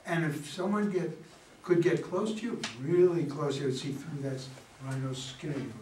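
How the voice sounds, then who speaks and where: A man's voice: a little high, normal speed, darkish tone, close to an everyday voice. A man playing a devoted, affectionate campaign staffer in an amateur play reading, with an earnest manner. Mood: tender. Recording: distant, echoey room, faint noise.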